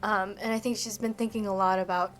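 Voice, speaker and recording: A woman's voice: mid-range voice, fairly even intonation, fast talker, soft background music. A woman chatting casually as a player in a tabletop role-playing game.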